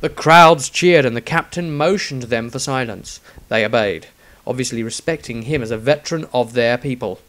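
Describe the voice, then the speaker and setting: A man's voice: medium pitch, moderate pace, fairly bright tone. A man reading scripted fantasy narration as a storyteller, in a solemn, theatrical manner. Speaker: author narrating own story.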